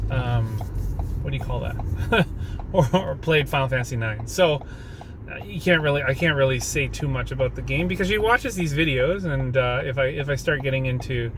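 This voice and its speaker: A man hosting a gaming channel, chatting casually and unscripted in a rambling way, often correcting himself and digressing. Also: on the high side. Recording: loud traffic and vehicle noise. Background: road traffic.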